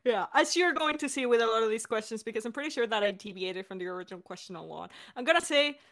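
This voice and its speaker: A woman's voice: fairly high pitch, animated, quick pace, a bit bright. An enthusiastic woman speaking as a podcast director and co-host in a casual, chatty Q&A. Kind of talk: casual creator Q&A.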